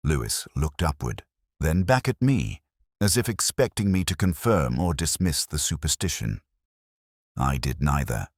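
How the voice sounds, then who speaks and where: Very deep male story narrator. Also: big pitch swings, no echo, clean studio sound. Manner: measured, literary storytelling. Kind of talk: scripted first-person detective story narration.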